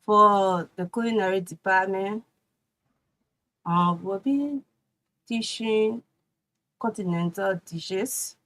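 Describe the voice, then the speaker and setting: Liberian woman, steady interview guest. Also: mid-range voice, slow, pauses often, rough recording. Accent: Liberian English. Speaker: culinary instructor guest. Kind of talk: casual interview answers.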